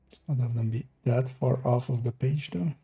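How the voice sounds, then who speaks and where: A young man from the Netherlands, streaming live and thinking aloud in a relaxed, meandering way while reviewing his work. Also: mid-range voice, moderate pace, vocal fry, breathy edge, faint music behind. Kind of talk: casual live-stream commentary.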